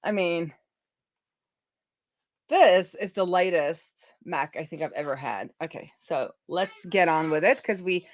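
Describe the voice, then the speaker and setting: Low-voiced Norwegian woman, chatty host. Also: unhurried, no echo. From Norway. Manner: chatty, thinks aloud with fillers and restarts. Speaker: streamer unboxing a laptop. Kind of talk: casual livestream chat.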